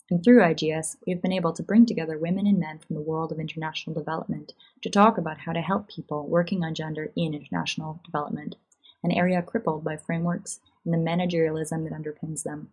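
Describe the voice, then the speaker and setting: Low, quick, husky woman narrator. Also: fairly even intonation, darkish tone. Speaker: academic in gender and development. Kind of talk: scripted personal narration.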